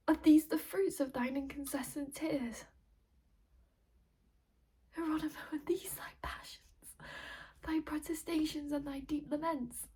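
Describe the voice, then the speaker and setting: A woman's voice: sits high, darkish tone. An actress playing a grieving, vengeful noblewoman, delivering classical tragic verse in an intense, reproachful, declamatory way. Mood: hushed reproach. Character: grieving, vengeful noblewoman of Renaissance revenge tragedy. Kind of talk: classical verse tragedy monologue.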